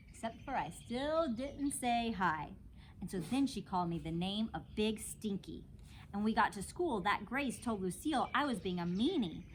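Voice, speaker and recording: Animated woman reading a children's story. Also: medium pitch, a little hiss or hum. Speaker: teacher reading aloud to children. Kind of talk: expressive children's storybook narration.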